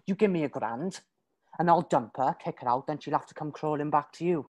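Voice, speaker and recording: A woman's voice: low-pitched, brisk, darkish tone, no room sound. A woman acting in a drama scene as a cocky, evasive young male drug dealer, dismissive and mocking, with a British accent. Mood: cagey, bargaining. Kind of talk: acted scene from a drama showcase.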